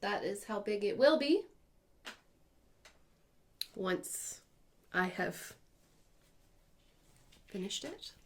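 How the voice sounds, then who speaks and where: A woman's voice: mid-range voice, expressive, extremely slow, long pauses, slight background noise. A woman in her thirties talking casually at home about her cross-stitch projects, in a chatty, rambling way with small self-corrections. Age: 30s. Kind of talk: casual chatty crafting vlog.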